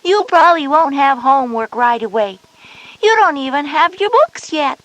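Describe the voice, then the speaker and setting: A woman's voice: high-pitched, animated, moderate pace, fairly bright tone, dry recording, low-quality recording. A woman playing a knowing, reassuring older sister of school age in a children's educational audio drama.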